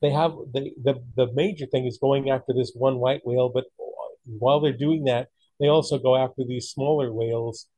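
Casual male talker, medium pitch, opinionated delivery, dry recording. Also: fairly even intonation, normal speed, hardly any pauses, warm tone, dry recording, low-quality recording. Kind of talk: casual chat.

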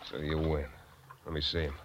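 Noisy old radio, tough terse sailor. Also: male voice, very deep voice, little pitch movement, brisk. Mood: defensive. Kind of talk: 1940s radio acting. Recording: noisy, band-limited old radio recording.

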